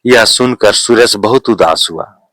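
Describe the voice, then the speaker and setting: A man narrating a scripted moral story as a storyteller, in a measured, deliberate manner. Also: fairly low pitch, bright, forceful tone, no echo.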